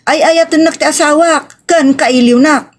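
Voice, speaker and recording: A woman's voice: high-pitched, a little slow. A stubborn young wife acted by a woman speaks. She sounds aggrieved and insistent, in the manner of a family radio drama. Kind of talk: Ilocano family radio-style drama acting.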